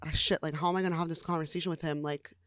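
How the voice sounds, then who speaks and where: A young woman singer chats through an interview in a smooth, resonant voice, peppering her talk with "like" and laughing easily. The sound is close and dry, with no room around it. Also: low-pitched, steady tone, rapid-fire, a bit bright, no echo. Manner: chatty, frequent "like" fillers, laughs easily. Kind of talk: casual interview chat.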